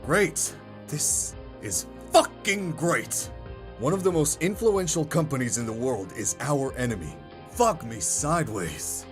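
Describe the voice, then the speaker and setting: Man as a snarky, cursing squad member; middle-pitched voice. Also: animated, unhurried, faint music behind, close to an everyday voice. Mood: sarcastic. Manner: sarcastic, swearing.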